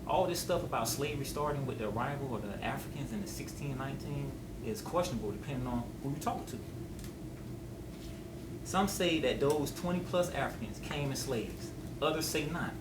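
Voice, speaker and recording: A man's voice: medium pitch, expressive, fairly quick, close to an everyday voice. A man at a table read playing a didactic man who leads a history discussion in a conversational, instructive way. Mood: explanatory. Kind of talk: script table read of docudrama dialogue. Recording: echoey room, distant mic, loud noise.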